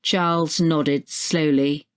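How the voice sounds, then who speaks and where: Female narrator, slightly low voice, reading scripted short fiction. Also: fairly even intonation, slow, smooth. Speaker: story narrator.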